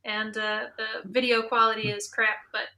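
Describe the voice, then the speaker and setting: A woman with a fairly high, bright voice hosts a casual panel discussion. She talks informally and chattily, with enthusiasm for the shop talk she is sharing. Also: flat delivery, moderate pace. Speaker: panel moderator, musician and indie audio drama creator.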